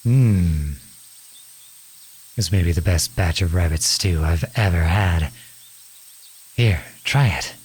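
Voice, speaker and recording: Man voicing a kind young outlaw, very low pitch, unhurried pace, gently teasing, intimate ASMR roleplay. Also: slightly rough, heavily acted. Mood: warmly inviting. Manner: gentle, reassuring, lightly teasing. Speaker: audio roleplay voice actor.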